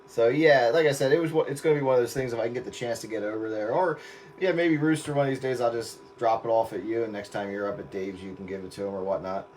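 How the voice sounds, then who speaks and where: Man, average pitch, casual radio operator, rambling easygoing talk, faint background noise. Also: quick pace. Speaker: radio operator hosting a livestream.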